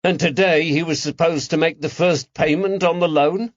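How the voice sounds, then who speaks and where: A man voicing a gruff, furious police constable who accuses and interrogates in an audiobook. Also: a little high, fairly even intonation, normal speed, no room sound, lo-fi sound, voice pushed up, close to an everyday voice. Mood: stern, probing. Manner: stern, accusing, barking. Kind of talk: audiobook character acting.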